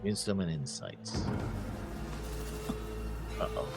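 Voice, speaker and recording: A man's voice: sits low, slow, vocal fry, over loud music. A man co-hosting an anime reaction, asking questions and pushing back on his partner's takes. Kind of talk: casual reaction commentary.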